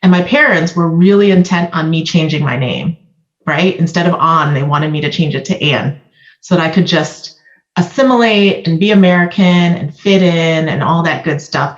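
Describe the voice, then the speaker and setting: Woman from Vietnam, candid storytelling guest, lowish voice, echoey. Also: normal speed, muffled recording. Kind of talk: candid conversational discussion.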